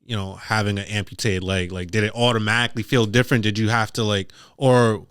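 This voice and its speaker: A man's voice: fairly low pitch, fairly even intonation, fairly quick, a bit bright, clear, resonant tone, dry recording, studio quality. A man interviewing a guest casually as a podcast host.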